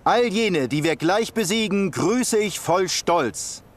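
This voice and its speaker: A man's voice: high-pitched, expressive, slow pace. A man voicing a proud, solemn ceremonial speaker in a fantasy game, formal and oratorical. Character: solemn male ceremonial master addressing warriors, proud.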